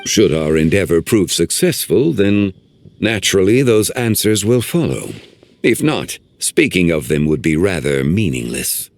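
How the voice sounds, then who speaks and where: A man voicing an ancient male guardian in a video game, speaking in a formal, measured, old-fashioned way. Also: deep voice, sing-song, slight background noise, heavily acted. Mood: grave.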